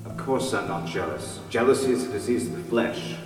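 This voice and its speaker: A man playing the gruff, jealous, sardonic ghost of a sea captain in an audio drama. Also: fairly low pitch, a little fast, over music, lightly acted. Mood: sulky, scornful. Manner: blustering, sarcastic. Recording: echoey hall, distant from mic.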